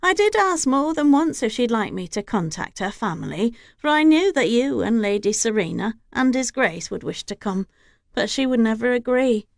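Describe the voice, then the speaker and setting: A devoted, old-fashioned housekeeper speaks formally and deferentially, her voice pitched a little higher than the storyteller's own, as a woman narrator puts her on. The sound is dry and close. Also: sing-song, normal speed, no echo. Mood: earnest. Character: older female housekeeper, devoted, stiff with outsiders.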